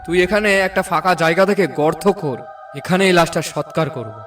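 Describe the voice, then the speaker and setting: A man's voice: on the high side, fast talker, natural voice. A man voicing a concerned young villager in a cartoon, earnest and urging. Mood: commanding, consoling. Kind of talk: cartoon voice acting. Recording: slightly distant, music behind.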